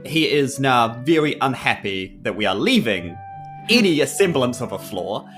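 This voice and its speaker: A man's voice: medium pitch, very animated, measured pace, fairly bright tone. A man talks casually as a player in a tabletop game. He describes his character conversationally, with faint music playing behind him.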